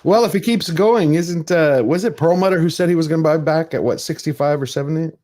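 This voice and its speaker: A man's voice: on the high side, brisk, a little mellow. A man chatting casually as a panelist on a stream, teasing and asking questions. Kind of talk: casual chat.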